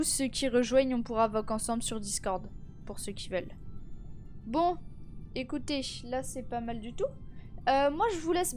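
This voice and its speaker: Tired, high-voiced teenage girl streaming. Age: teens. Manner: chatty, greets viewers, many fillers and restarts. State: tired and stuffy from a cold. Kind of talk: casual live-stream chat.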